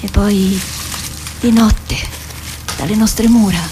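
An actress playing a proud, impassioned citizen recounting her city's history in a deliberate, solemn theatrical monologue. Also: mid-range voice, steady tone, noisy background, lightly acted. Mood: solemn, evocative. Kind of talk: theatrical monologue in radio drama. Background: music.

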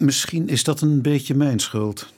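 An actor playing a commanding, dry police inspector in a radio drama, terse and authoritative. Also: male voice, mid-range voice, takes it slowly, a little mellow. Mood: rueful, thoughtful.

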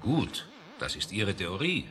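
An actor playing a torn, reasonable professor in a German radio play, speaking in a measured, questioning way. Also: male voice, sits low, huge pitch swings, a crowd in the background, full character voice. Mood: measured doubt. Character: hesitant, torn male professor, reasonable mediator.

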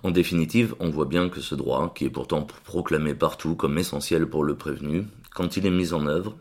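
Deep-voiced man reading radio commentary. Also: moderate pace, a little mellow. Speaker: radio columnist.

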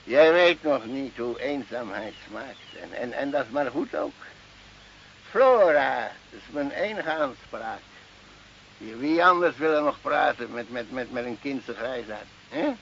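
A man's voice: mid-range voice, expressive, a little slow, creaky, fairly bright tone. An actor playing a sly, rambling old male goatherd in a radio drama, stammering, repeating himself and chuckling. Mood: plaintive, crafty. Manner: stammering, repetitive, frequent chuckling and questioning tags. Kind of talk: Dutch radio drama acting. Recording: muffled old radio recording, faint noise.

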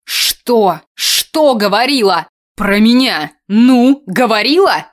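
A woman's voice: mid-range voice, measured pace, natural voice. A woman voicing a drunk, paranoid, aggressive man who barks coarse, rambling accusations in an audiobook. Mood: furious, taunting. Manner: barking, incoherent, coarse ranting.

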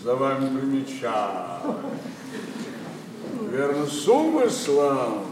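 An actor playing a flirtatious, blustering ageing master of the house in a classical stage comedy, drawling and teasing theatrically. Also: male voice, mid-range voice, huge pitch swings, slow. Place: theatre stage, live broadcast recording. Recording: echoey, distant, noisy theatre stage.

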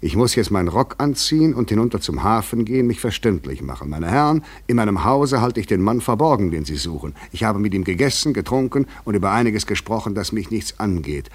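Radio play: restless, worn-out intruder on the run, low husky voice, probing and rhetorical. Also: male voice, big pitch swings, brisk, few pauses. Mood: provocative, insistent. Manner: articulate, rhetorical, insistent. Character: overwrought, weary, probing male fugitive intruder. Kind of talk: German literary radio play acting.

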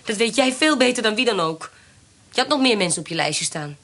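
An actress playing a shrewd, imperious baroness with formal, pointed questions in a period radio drama. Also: female voice, on the high side, lively intonation, a little fast, fairly bright tone, slight background noise. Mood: angry, demanding.

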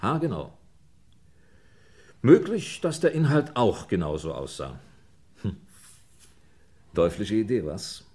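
An actor playing a brisk, dry homicide inspector in a German radio crime play, musing in clipped phrases with little questioning grunts. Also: male voice, on the low side, huge pitch swings, a little mellow, heavily acted. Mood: musing, matter-of-fact.

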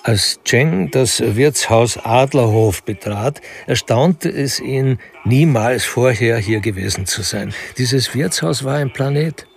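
An actor narrating a literary crime radio play as a wry, reflective storyteller. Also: male voice, medium pitch, slow pace, creaky, hardly any pauses.

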